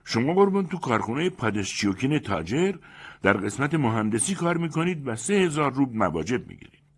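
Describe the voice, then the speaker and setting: A man's voice: medium pitch, big pitch swings, husky, voice pushed up. A man voicing a deferential male coachman who answers in a dutiful, respectful way.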